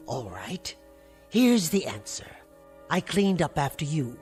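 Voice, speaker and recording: A tart, weary spinster niece. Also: female voice, sits low, big pitch swings, slow pace, a little mellow, soft background music, heavily acted. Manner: tart, clipped, sarcastic retorts. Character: weary resentful spinster niece, middle-aged. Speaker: put-upon niece and housekeeper. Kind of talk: 1960s TV drama acting.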